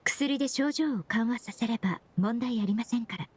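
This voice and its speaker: A woman's voice: on the high side, darkish tone, slight background noise, lightly acted. A woman playing a polite, composed young female perfumer in an anime game, speaking formally and knowledgeably. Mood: calm, explanatory. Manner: courteous, formal, measured. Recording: music behind the voice.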